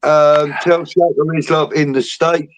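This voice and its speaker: Relaxed man reading out viewer comments. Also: on the high side, a little slow, a little hiss or hum. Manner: relaxed, reads out chat messages between jokes. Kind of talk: casual chat.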